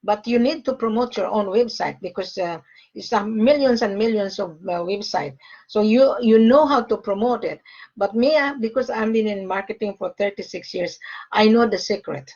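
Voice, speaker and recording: A woman's voice: mid-range voice, moderate pace, a little mellow. An older woman from the Philippines talks business over a call. She speaks emphatically and repeats her main points. The audio comes through muffled and dull. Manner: emphatic, repeats key phrases. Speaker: gold sales facilitator. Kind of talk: casual call conversation.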